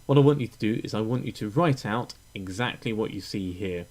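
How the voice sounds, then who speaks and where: A man narrating a step-by-step software tutorial in an informal, explanatory way. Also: lowish voice, a little fast. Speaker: tutorial presenter.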